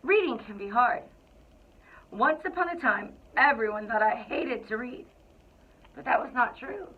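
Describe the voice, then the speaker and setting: A woman reading a children's picture book aloud to school students in an expressive, deliberate storytelling way. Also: on the high side, slightly rough. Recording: thin, phone-like narrow-band sound.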